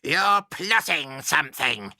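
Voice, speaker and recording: A spiteful old wife with a screechy, gravelly voice that swoops high and wide, as a male narrator puts her on. She cackles and taunts, bright and cutting. The recording is close and dry. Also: big pitch swings, slow pace, no room sound, heavily acted. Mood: taunting.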